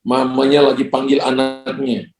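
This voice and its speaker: A man's voice: mid-range voice, monotone, moderate pace, echoey room, music in the background. A man, a pastor, praying prophetic words over callers and chatting with them in a joking, pastoral way. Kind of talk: prophetic prayer and ministry to callers.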